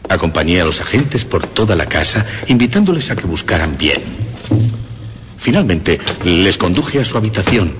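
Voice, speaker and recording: A low-pitched deranged killer confesses, as in a radio horror play. The delivery is theatrical and intense, swinging widely in pitch over a clearly noisy recording. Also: male voice, fairly bright tone, exaggerated voice. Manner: theatrical, deliberate phrasing with intense emphasis. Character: mad murderer narrating his crime, increasingly frantic. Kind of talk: Spanish radio horror drama monologue.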